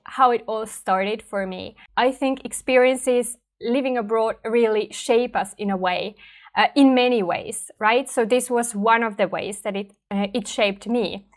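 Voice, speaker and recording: Finnish woman, language teacher, thoughtful casual delivery, slightly high-pitched. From Finland. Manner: thoughtful, conversational, frequent "right?" and fillers. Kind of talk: reflective personal talk to camera.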